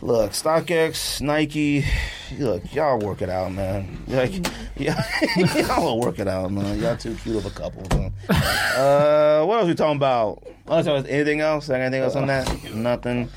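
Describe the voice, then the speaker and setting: A man hosting a casual sneaker and sports podcast, talking in a slangy, animated way and often repeating himself for emphasis. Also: medium pitch, measured pace, background noise. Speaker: podcast host.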